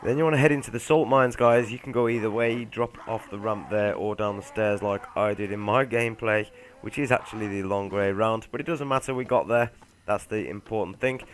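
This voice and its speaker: A man's voice: lowish voice, a little hiss or hum. A man hosting a gaming video, giving a laid-back walkthrough voice-over and speaking straight to his viewers. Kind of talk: casual game walkthrough voice-over.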